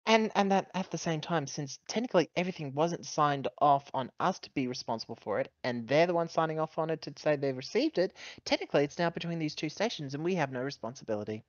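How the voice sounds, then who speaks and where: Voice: medium pitch, expressive, fast talker, few pauses, no echo, muffled recording. A tabletop game player roleplaying and chatting casually in an assertive manner. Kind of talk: in-character roleplay and casual chat.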